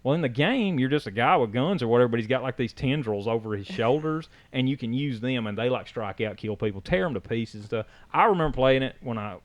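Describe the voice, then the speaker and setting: A man's voice: mid-range voice, lively intonation, quick pace, hardly any pauses, no room sound. A man co-hosting a casual podcast, talking enthusiastically about comics and games, rambling and correcting himself as he goes. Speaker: podcast co-host.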